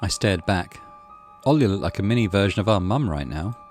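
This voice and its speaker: A man's voice: deep voice, lively intonation, a little fast, a little mellow. A man narrating a visual novel aloud as a gaming channel host, in a dramatic storytelling style. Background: music.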